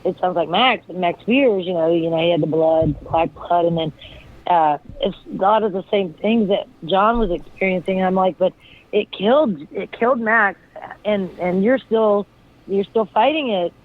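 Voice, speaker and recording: A hoarse woman with a raspy voice, a guest talking in a rambling, searching way with many fillers. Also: mid-range voice, moderate pace. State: hoarse from illness. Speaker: guest, friend of the deceased. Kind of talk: casual interview talk. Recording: tinny phone line.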